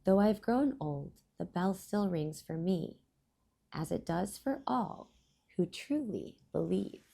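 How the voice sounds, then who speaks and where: A woman hosting a children's storybook read-aloud, speaking in deliberate phrases and explaining things to young listeners. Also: lowish voice, lively intonation, vocal fry, dark tone, slightly rough.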